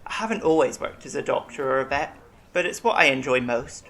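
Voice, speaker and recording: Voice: medium pitch, animated, fairly quick, fairly bright tone. An actor playing a centuries-old, eccentric alien medic in a science-fiction audio drama, polite, eager and talkative with learned diction. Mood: chatty, reflective.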